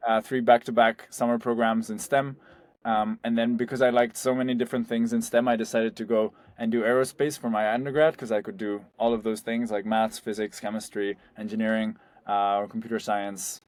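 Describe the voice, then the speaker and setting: A man's voice: mid-range voice, a little mellow, no room sound. A young man from Spain, a startup founder, talking loosely as an interview guest and sprinkling his speech with "like" and "um". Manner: loose and conversational, heavy on "like" and "um". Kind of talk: casual interview.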